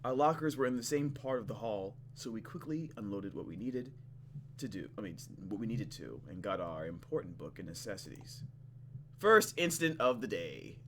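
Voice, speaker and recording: Casting: playful male let's-player, lively swings in pitch, narrates game text and reacts casually, over clear background noise. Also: normal speed. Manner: playful, expressive, acts out reactions. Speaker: gaming channel host. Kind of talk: let's play, reading story text aloud with casual commentary.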